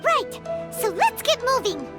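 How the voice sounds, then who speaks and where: A woman voicing a tiny, excitable, fairy-like companion in a game, curious and full of exclamations. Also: extremely high pitch, a little slow, over loud music, full character voice. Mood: excited.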